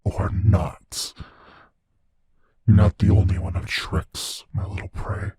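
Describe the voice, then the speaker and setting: Predatory monster played by a man, ASMR audio drama: dark soft tone, unhurried, breathy, toying and menacing. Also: lowish voice, heavily acted. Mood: gloating. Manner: taunting, deliberate, often dropping into breathy whispers. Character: sadistic, playful predatory monster toying with his prey.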